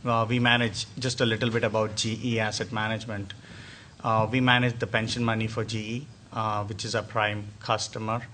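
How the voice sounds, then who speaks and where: A man introducing himself at a conference panel, speaking in a measured way with frequent filler pauses. He sits at a panel table in a hotel conference room. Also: on the low side, flat delivery, sharp tone, a little hiss or hum. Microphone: table microphone.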